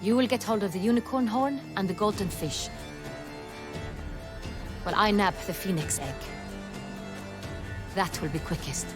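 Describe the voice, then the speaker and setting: An actress playing a commanding, regal young duchess in a video game, speaking formally and with authority. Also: female voice, mid-range voice, moderate pace, long pauses, close to an everyday voice. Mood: brisk, instructing. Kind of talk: video game cutscene acting. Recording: music behind the voice.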